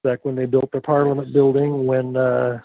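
A man's voice: medium pitch, flat delivery, normal speed, vocal fry, no room sound. A man who is a guest on a podcast, telling stories in a rambling way with many restarts. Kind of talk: casual chat. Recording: muffled lo-fi sound.

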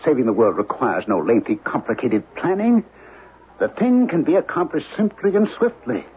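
A man's voice: mid-range voice, big pitch swings, moderate pace, lightly acted. A man acting in a 1970s radio drama as an intense, defensive husband who earnestly believes he must save the world. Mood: urgent, fervent. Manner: insistent, earnest. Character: married man, obsessed would-be saviour of the world, defensive, intense. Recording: lo-fi 1970s radio broadcast, noisy.

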